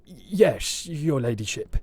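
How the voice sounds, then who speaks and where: An actor voicing a weary, exasperated tavern keeper. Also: male voice, on the high side, animated, slow, background noise. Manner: exasperated, grumbling. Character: gruff male tavern keeper, weary and fed up.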